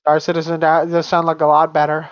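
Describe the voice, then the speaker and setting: Dude guest, casual short replies, muffled lofi mic, dry. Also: male voice, a little high, completely flat delivery, fast, no echo. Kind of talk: casual online chat. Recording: dull lo-fi mic.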